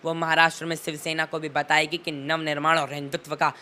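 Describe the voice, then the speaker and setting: Bright-voiced teenage boy, emphatic political speaker. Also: on the high side, little pitch movement, fairly quick. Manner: emphatic, rhetorical, oratorical repetition. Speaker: interviewee, young political commentator. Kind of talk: impassioned political commentary in an interview.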